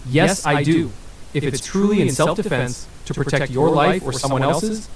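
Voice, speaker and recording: A man's voice: on the high side, moderate pace. Echoey far-off synthetic man's voice. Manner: smooth, even, evasive scripted answers. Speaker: AI interview guest. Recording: roomy and distant with faint hiss.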